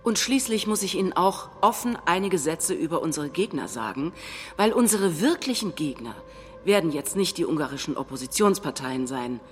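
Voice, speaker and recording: Self-assured nationalist leader, reverberant hall. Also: female voice, low voice, lively intonation, moderate pace. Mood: measured, defiant. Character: combative, self-assured Hungarian nationalist leader giving a speech. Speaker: actor in a political documentary theatre piece. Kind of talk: staged reading of a political speech. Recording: long hall reverb, faint music.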